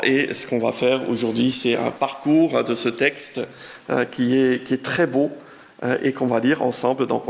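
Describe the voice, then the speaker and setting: A man's voice: medium pitch, gravelly. A German-speaking Swiss man in his fifties, a guest pastor preaching and reading scripture in French with a Swiss German accent, genial and chatty. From German-speaking part of canton of Bern, Switzerland. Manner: genial, chatty, self-deprecating, reads scripture with lively emphasis. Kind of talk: sermon with Bible reading.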